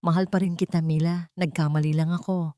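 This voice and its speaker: A woman's voice: on the low side, little pitch movement, fairly quick, mellow, no room sound, clean studio sound, close to an everyday voice. A woman voicing a desperate man who pleads for forgiveness in an audio drama story. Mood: desperate pleading. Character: desperate pleading convicted husband, adult man, begging forgiveness.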